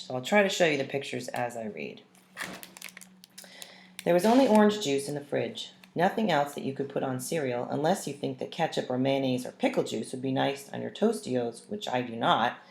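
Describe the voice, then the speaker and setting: A woman with a low, creaky voice reads a children's story aloud. Her delivery is expressive and deliberate, with stress on the dialogue. The recording is roomy and echoing. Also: a little hiss or hum. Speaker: read-aloud narrator. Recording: roomy, echoing room sound.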